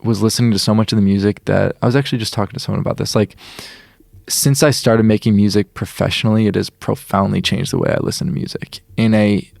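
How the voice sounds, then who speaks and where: A man's voice: fairly low pitch, steady tone, fast talker, gravelly, darkish tone, no echo, clean studio sound. A young man from Illinois, a musician being interviewed, talking casually and earnestly with lots of "like" and restarts. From Illinois, USA. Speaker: musician guest.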